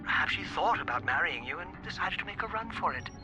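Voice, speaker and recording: A man voicing a sardonic robot butler who speaks politely with deadpan sarcasm, over game music. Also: high voice, fast, poor audio.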